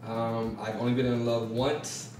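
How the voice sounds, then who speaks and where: A man from Atlanta, a low-key guest in a casual group talk. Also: flat delivery, measured pace, a little mellow, recorded from a distance, a little hiss or hum. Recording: very roomy, from off.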